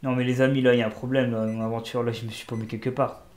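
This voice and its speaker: A man's voice: mid-range voice, flat delivery, racing, a little mellow, reverberant, soft background music. A man with a cold commenting casually on a video game as he plays, joking and talking to himself and the characters. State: has a cold. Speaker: gaming YouTuber. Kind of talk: casual let's-play commentary.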